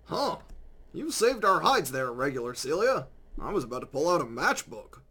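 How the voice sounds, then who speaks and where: A man voicing a nerdy, easily indignant older scientist adventurer in a game, with a gravelly, pedantic delivery. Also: on the high side, very animated, sharp tone, heavily acted. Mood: fussy, eager. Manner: pedantic, halting storyteller. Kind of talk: visual novel fan voice acting.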